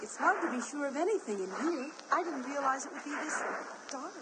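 An actress playing a young carnival high-wire artist who pleads emotionally for a friend. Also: female voice, animated, brisk, very noisy. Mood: fearful.

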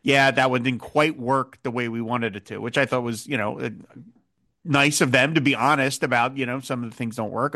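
A man's voice: medium pitch, fairly bright tone. A man hosting a podcast who recaps an episode and chats thoughtfully with many hesitations. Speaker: podcast host. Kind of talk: episode recap and casual chat.

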